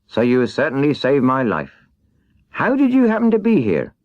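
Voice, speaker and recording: A man's voice: medium pitch, wildly swooping pitch, normal speed, darkish tone, dry recording, dull audio, pitched above the performer's own voice. A man voicing a polite, grateful tin man in a children's storybook, speaking in an earnest, courteous manner. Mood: earnest, hopeful. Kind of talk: children's storybook character reading.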